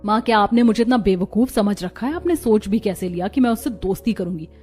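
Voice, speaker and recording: A woman's voice: mid-range voice, brisk, a little mellow, breathy edge, soft background music, lightly acted. A woman playing a spoiled, breezy young rich college girl in a cartoon story. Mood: defensive.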